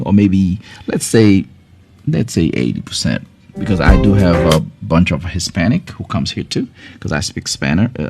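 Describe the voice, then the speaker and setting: Man, low voice, animated pitch, repeats his thank-yous, music playing behind. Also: vocal fry. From Haiti. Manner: warm, repetitive thank-yous, loose rambling phrasing. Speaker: doctor hosting a live health show. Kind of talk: live-stream chat thanking viewers. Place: his clinic.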